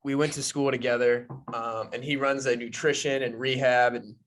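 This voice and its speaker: Male podcast co-host, medium pitch, informal and accommodating, casual conversational delivery. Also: level tone, moderate pace, a bit bright, rough recording. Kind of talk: casual pre-interview chat.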